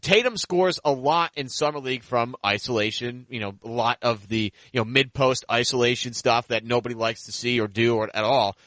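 Bright-voiced man hosting a sports podcast. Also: medium pitch, fairly quick, few pauses, no room sound. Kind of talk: casual basketball discussion.

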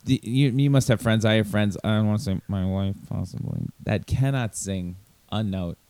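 A man's voice: on the low side, moderate pace, mellow, dry recording. A man in casual chat, mostly giving short, dry replies.

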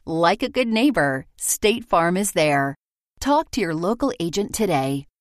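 A woman's voice: lowish voice, big pitch swings, measured pace, no echo, clean studio sound. A woman reading a scripted advertisement as a voice-over. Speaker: commercial voice-over.

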